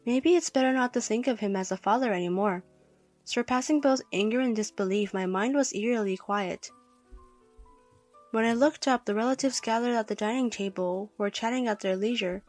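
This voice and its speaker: Woman reading a first person story, highish voice, faint music behind. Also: moderate pace, a little mellow. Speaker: story narrator. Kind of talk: scripted first-person narration.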